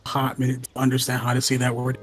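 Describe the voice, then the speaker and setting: A guest in a casual stream chat who speaks gently and a little tentatively. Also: medium pitch, monotone, fast, music in the background.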